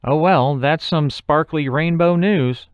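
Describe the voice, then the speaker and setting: A man's voice: a little high, takes it slowly, smooth, no echo, highs cut off, voice pushed up. A man voicing a panicked, doofy male scientist sidekick in an audiobook story. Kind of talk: audiobook character voice.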